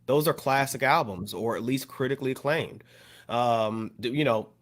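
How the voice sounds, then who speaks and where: A man with a mid-range voice co-hosts a casual hip hop podcast. He chimes in with short hype lines, then talks in a measured way when he makes a point. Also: medium pitch. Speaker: podcast co-host.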